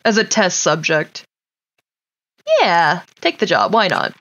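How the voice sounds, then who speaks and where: A woman's voice: on the low side, very animated, slow pace, fairly bright tone, muffled recording. A woman giving casual, wry gameplay commentary, talking to her game characters as she plays. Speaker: gaming streamer.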